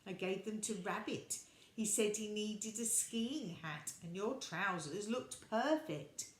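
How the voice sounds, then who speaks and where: Woman voicing a sheepish toy dog, slow-spoken. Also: mid-range voice, lightly acted. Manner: apologetic, bashful. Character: sheepish, silly male toy dog, apologetic. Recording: echoey room with background noise.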